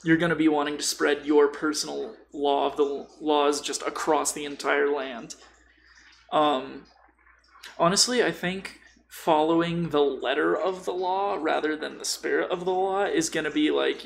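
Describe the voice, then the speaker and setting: A man's voice: on the high side, steady tone, unhurried, creaky, rough recording. A man chatting casually and bluntly about tabletop role-playing, with strong opinions, swearing and slang. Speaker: podcast guest.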